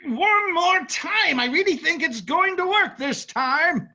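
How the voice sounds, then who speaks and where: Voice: very high voice, animated, slow, pushed and bright, muffled recording, exaggerated voice. A performer playing an ambitious, self-absorbed inventor father in a theatrical staged reading, with an exclamatory, dramatic delivery. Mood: eager.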